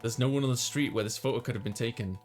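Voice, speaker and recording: A man with a low-key musical backing plays host on a gaming video. He reads visual novel narration aloud and slips into casual commentary of his own. His pitch sits in the middle range for a man. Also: rapid-fire, darkish tone, soft background music. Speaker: let's player.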